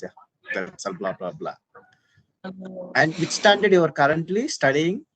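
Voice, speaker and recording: Halting young man with an Indian accent. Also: fairly high pitch, huge pitch swings, a bit bright, slight background noise, poor audio. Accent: Indian English. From Hyderabad, South India. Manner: halting, searching for words, inquisitive. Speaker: conversation partner practising English. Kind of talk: casual online video call chat.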